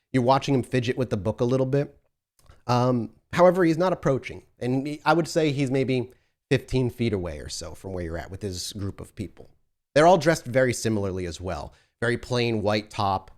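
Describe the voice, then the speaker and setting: A man's voice: mid-range voice, a little mellow. A man running a tabletop role-playing game as storyteller, giving vivid sensory descriptions with frequent restarts.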